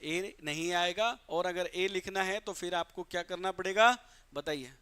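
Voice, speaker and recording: A man from Bihar teaching English grammar in a live online class, calling students "beta", asking and answering his own questions, and reading English examples out slowly. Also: high-pitched, sharp tone, clean tone. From Bihar, India.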